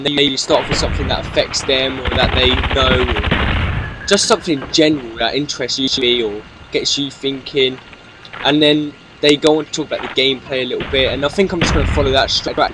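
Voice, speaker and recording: A British young man in his late teens giving hesitant, monotonous gameplay commentary, full of ums and restarts. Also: medium pitch, noisy background. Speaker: gaming YouTuber. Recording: loud game gunfire behind. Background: loud video game gunfire and explosions.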